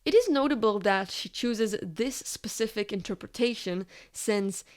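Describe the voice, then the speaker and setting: A woman's voice: mid-range voice, expressive, measured pace. A woman reading a scripted, analytical video essay as its narrator.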